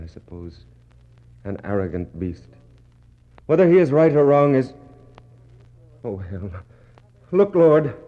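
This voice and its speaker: A man acting a despairing British naval captain in a 1960s radio play, declaiming in anguished, bitter soliloquy that swings between hushed prayer and outcry. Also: mid-range voice, natural voice. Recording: dull, noisy 1960s radio recording.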